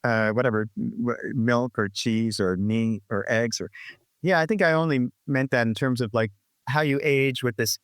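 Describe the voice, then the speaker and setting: A man's voice: mid-range voice, fairly even intonation, moderate pace, dry recording, studio quality. A man in his sixties, a musician guest talking reflectively in an interview, rambling with restarts and "you know, like". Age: 60s.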